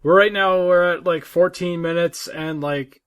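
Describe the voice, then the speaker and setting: Casting: male gaming podcast co-host, somewhat high-pitched voice, informal conversational delivery. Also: steady tone, measured pace, fairly bright tone, clean tone. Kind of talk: casual chat about video games.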